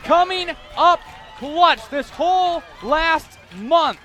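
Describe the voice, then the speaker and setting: A man's voice: very high-pitched, animated, takes it slowly, pushed and bright, some chatter behind. A young man giving live play-by-play commentary as a sports announcer at a game.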